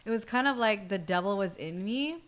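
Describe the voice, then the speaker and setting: She is a young woman, speaking at an average female pitch. Her delivery is casual and animated, full of slang and prone to giggles, like someone confiding a story to friends. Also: a little fast. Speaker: podcast guest. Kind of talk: casual chat, personal storytelling.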